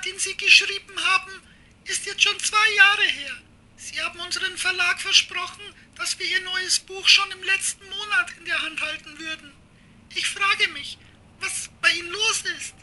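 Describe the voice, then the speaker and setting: A woman's voice: very high-pitched, fairly even intonation, normal speed, noisy background. A woman playing a polite but stern publisher with a formal, businesslike manner in an amateur radio play. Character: polite but stern female New York publisher, admiring fan. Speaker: publisher on a phone call.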